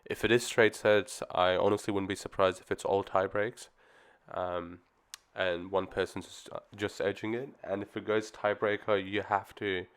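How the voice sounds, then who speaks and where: A man's voice: sits low, flat delivery, normal speed. A man talking casually on his own channel about tennis, easygoing and rambling with plenty of ums, his voice slightly off as he gets over the flu. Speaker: tennis commentary vlogger. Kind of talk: casual solo opinion talk.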